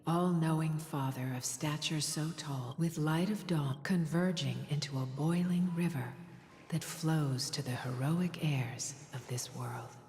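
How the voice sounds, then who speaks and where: A low, tender mother's voice for a video game, soft and soothing as if to her small daughter. It rings with long reverb, music faint behind it. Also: a little slow. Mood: solemn. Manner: tender, soothing, softly spoken. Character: gentle, doting mother telling tales to her daughter.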